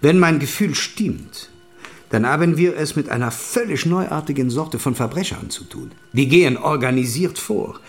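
A shrewd, hot-blooded French count in an audio drama. His speech is formal with French words, gravelly and lively in pitch, over faint music.

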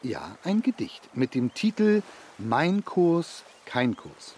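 A man's voice: medium pitch, big pitch swings, slow, darkish tone, a little hiss or hum. An actor playing a timid, hesitant foster father who stammers and evades in a children's radio play. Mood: nervous, reciting.

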